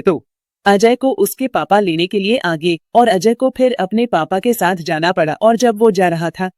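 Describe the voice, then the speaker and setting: A woman's voice: medium pitch, darkish tone, dry recording, studio quality. A woman reading a scripted story as its narrator.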